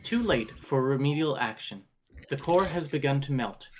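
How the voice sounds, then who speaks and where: A woman's voice: rumbling low, lively intonation, normal speed, darkish tone. A woman playing a spaceship computer in a table read, making formal, impassive warning announcements. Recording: faint background noise, clicks.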